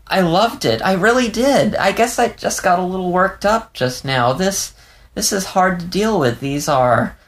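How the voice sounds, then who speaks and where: A man's voice: high voice, expressive, unhurried, pitched above the performer's own voice. A man voicing an emotional young adult male fox who talks in a shaky, whimpering way. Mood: earnest.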